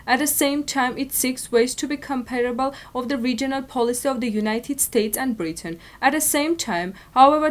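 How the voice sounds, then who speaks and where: A young woman with an Armenian accent reading scripted news in a formal, stilted way. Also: high voice. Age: young adult. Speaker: news presenter.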